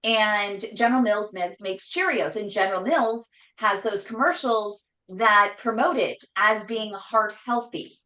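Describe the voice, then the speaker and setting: A woman hosting a casual live cooking show from her home kitchen, talking loudly and emphatically with a voice that carries. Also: mid-range voice, measured pace, hardly any pauses, reverberant, poor audio. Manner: loud voice that carries, chatty and emphatic. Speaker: host cooking on a live stream.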